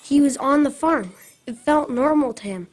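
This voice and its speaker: A woman's voice: high-pitched, lively intonation, normal speed. A young schoolgirl giving scripted narration for a radio drama. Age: child, about 10. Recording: clearly noisy ambient sound.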